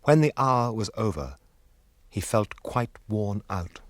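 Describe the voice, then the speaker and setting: An actor as the grave narrator of a fantasy radio drama, reading in a measured, literary British manner. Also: male voice, fairly low pitch, big pitch swings, dry recording, studio quality. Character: grave male storyteller of an epic fantasy.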